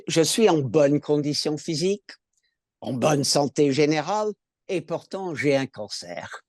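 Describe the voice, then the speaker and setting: Older American man, slow and measured. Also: a little high, a little mellow, dry recording, studio quality. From California. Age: 70s. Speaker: patient guest. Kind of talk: webinar interview.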